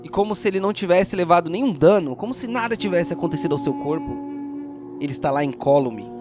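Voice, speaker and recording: A man with a Brazilian accent hosting and narrating a tabletop RPG audio drama as game master, in a theatrical, deliberate storyteller's manner. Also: high-pitched, expressive. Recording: music playing behind the voice. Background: music.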